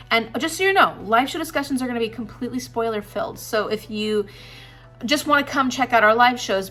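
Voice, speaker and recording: A woman's voice: medium pitch, fairly bright tone. A young woman chatting casually in an upbeat, enthusiastic vlog, laughing often. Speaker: booktuber.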